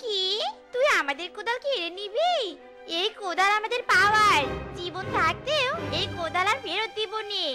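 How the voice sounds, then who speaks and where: Greedy fox wife, cartoon, very high girlish pitch, haughty, commanding, music underneath. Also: expressive, normal speed. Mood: bossy, defiant. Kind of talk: Bengali cartoon dialogue.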